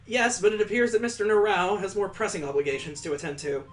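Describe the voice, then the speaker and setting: A game playthrough host of unclear gender, reading visual novel narration aloud in an expressive, jokey way with casual asides. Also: medium pitch, fast talker.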